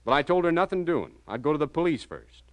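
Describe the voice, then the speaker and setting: A man's voice: fairly high pitch, sing-song, quick pace, no echo. An actor playing an anxious married small-town businessman, hesitant and flustered, in 1940s radio style. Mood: distressed.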